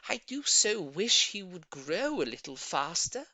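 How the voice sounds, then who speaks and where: Man putting on a high, gushing storybook lady. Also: very animated, unhurried, sharp tone, full character voice. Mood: wistful, fretting. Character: gushing, sweet middle-aged lady doting on her pet. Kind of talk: audiobook character voice.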